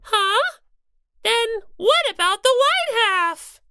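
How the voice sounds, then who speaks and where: Audiobook mascot: tearful, earnest female bunny, childlike piercing voice, indignant wails, lively swoops. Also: slow, noisy background, heavily acted. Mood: puzzled. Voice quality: squeaky, childlike. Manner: plaintive, wailing, indignant. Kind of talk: character voice in fan audiobook.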